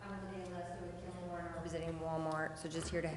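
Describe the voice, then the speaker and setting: A woman with a low, even voice explains a building project to a city council. Her measured answers echo around a large chamber with a long tail, with faint hiss behind. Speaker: representative of a developer at a public hearing.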